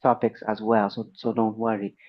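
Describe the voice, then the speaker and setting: A man's voice: fairly low pitch, level tone, moderate pace, highs cut off. A man hosting an interview podcast, polite and effusive, with a slight sore throat. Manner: polite, effusive, thanks guests warmly. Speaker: podcast host. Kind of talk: friendly interview chat.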